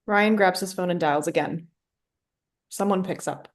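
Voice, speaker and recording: A woman's voice: fairly low pitch, unhurried. A woman reading scripted stage directions as the narrator of a play reading.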